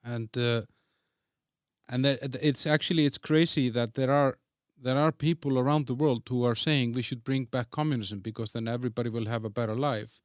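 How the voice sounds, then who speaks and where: A man's voice: mid-range voice, steady tone, normal speed, dry recording, clean studio sound. Icelandic-born male podcast host. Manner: fluent, leading questions, opinionated. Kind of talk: casual interview chat.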